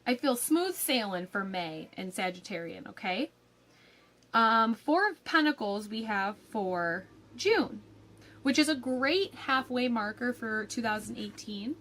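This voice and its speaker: A young woman giving a casual tarot forecast, a little raspy from a cold, chatty with frequent ums and likes. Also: a little high, lively intonation, a little slow, a bit bright. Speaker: tarot reader.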